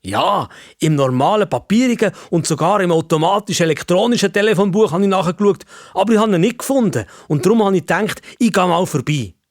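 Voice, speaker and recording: Man playing an eager old-fashioned gentleman, raised pitch. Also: animated, a little fast, no room sound, studio quality. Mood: eager, matter-of-fact. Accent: Swiss. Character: curious old-fashioned man from the past, eager, easily flustered. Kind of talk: children's audio story.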